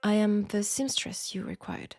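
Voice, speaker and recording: A woman's voice: medium pitch, gravelly, no echo. Woman playing a proud French-accented seamstress, close and dry. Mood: polite, composed. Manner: polite, formal, measured, quietly self-assured. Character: proud, outspoken, formal young seamstress in steampunk Paris. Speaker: audio roleplay performer. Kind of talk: scripted intimate audio roleplay drama.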